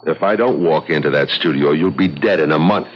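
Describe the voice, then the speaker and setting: A man's voice: very deep voice, fairly quick, breathy edge, soft background music. An actor playing a suspicious, insistent man in a radio suspense drama, dry and pressing. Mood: grimly pressing. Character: suspicious, insistent, low-voiced man confronting a woman. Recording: dull old radio recording, faint noise.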